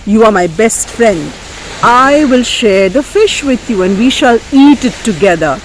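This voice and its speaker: A woman's voice: on the high side, expressive, measured pace, slight background noise, poor audio. A woman voicing a generous, loyal fisherman in a folktale. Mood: kind, eager. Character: Tana, sad but generous young fisherman, loyal friend.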